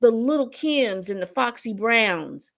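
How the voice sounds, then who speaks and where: A woman's voice: a little high, expressive, slow, creaky, fairly bright tone. A woman in her fifties giving a casual commentary monologue, emphatic and repetitive, often restarting her sentences. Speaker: commentary host.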